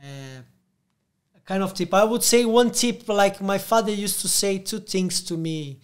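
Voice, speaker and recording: Brazilian man with a high voice. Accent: Brazilian Portuguese. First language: Portuguese. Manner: halting, restarts often. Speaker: podcast host. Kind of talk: casual interview.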